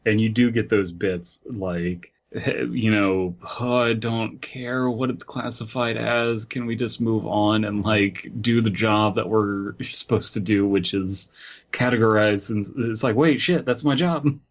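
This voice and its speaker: A man's voice: on the low side, steady tone, measured pace. A man co-hosting a podcast in casual comedic chat, with joking, rambling asides, on a slightly lo-fi microphone. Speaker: podcast co-host.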